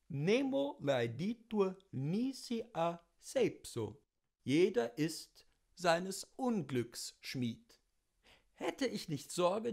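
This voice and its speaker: A man narrates a literary memoir in a high-pitched voice. His intonation swings widely, and he takes his time over each phrase. The delivery is eloquent and theatrical, in the style of an expressive audiobook.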